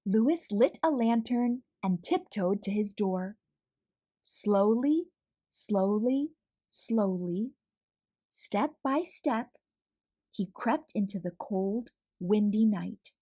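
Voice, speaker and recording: A woman reading a children's story aloud expressively, with deliberate dramatic pauses. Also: mid-range voice, lively intonation, dark tone. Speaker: storybook read-aloud host.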